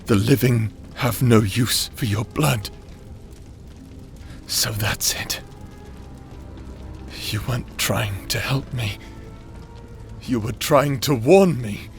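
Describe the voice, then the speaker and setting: A man playing a proud, tormented captor under a curse in a dark fantasy audio roleplay, with a theatrical, anguished and imperious delivery. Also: mid-range voice, slow, long pauses, faint music behind. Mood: bitter realization.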